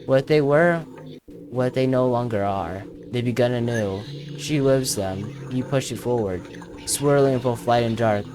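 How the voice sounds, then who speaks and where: A man's voice: medium pitch, a little slow, noisy background. A man commentating casually on his own video game playthrough, thinking aloud as he plays.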